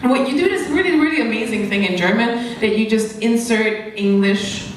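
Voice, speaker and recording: A woman's voice: on the high side, moderate pace. A woman doing stand-up comedy as a comedian, with a rambling, giggly delivery that keeps interrupting itself. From Hungary. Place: comedy club stage. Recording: echoey, noisy club room, distant mic.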